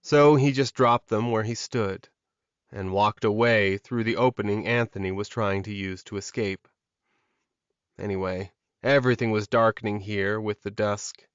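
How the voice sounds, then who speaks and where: A man's voice: on the low side, clear, resonant tone, dry recording, highs cut off. A man reading scripted fantasy fiction as a dramatic audiobook narrator.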